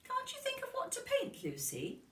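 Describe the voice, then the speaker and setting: A woman's voice: extremely high pitch, huge pitch swings, moderate pace, pitched above the performer's own voice, full character voice. A woman reading a children's storybook, voicing a kind, encouraging female primary school teacher. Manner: encouraging, gently instructive. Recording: roomy, distant microphone.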